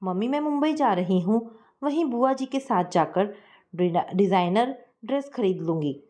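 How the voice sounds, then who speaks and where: A woman's voice: medium pitch, lively intonation, normal speed, dark tone, close to an everyday voice. A woman voicing a cheerful, easygoing young bride-to-be in a storybook reading. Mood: breezy.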